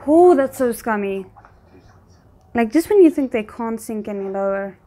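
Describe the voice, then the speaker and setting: A woman giving casual, spontaneous reaction commentary as a video host, thinking aloud in an animated, exclamatory way. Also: a little high, moderate pace.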